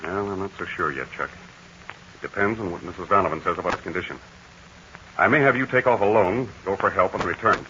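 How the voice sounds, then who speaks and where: An actor playing a brave, steady aviator hero in a 1940s radio serial, talking in a calm, assured and commanding way. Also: male voice, low-pitched, brisk, noisy background, lightly acted. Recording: dull lo-fi 1940 radio transcription.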